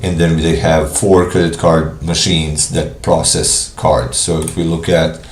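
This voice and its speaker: A man with a cold, sounding congested, casually walking viewers through software on a live screen share. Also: a bass voice, little pitch movement, reverberant. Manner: casual, thinking aloud with pauses. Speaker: software trainer.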